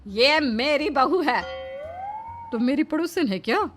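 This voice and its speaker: A woman's voice: high voice, lively intonation, slow, fairly bright tone, faint music behind, full character voice. A woman voicing a possessive, bossy middle-aged mother-in-law in a cartoon, emphatic and melodramatic. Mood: indignant, possessive. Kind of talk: Hindi moral-story cartoon dubbing.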